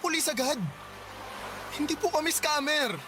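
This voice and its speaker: A man's voice: very high voice, normal speed, background noise. A Filipino man acting in a radio drama as a polite, naive young husband, anxious and stammering. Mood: nervous, pleading. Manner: hesitant, stammering, respectful.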